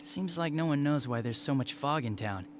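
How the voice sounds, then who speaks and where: An actor playing a young junior police detective in a video game, casual and slightly awkward. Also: male voice, on the high side, animated, normal speed, background noise, lightly acted. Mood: hesitant.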